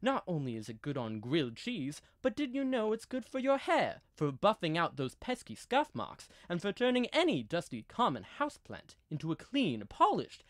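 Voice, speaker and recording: A young man works as an old-time radio announcer with a theatrical, salesmanlike delivery. His voice is fairly high and swings widely in pitch, with a dry, close sound. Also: huge pitch swings, moderate pace, hardly any pauses, a bit bright, no room sound. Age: young adult.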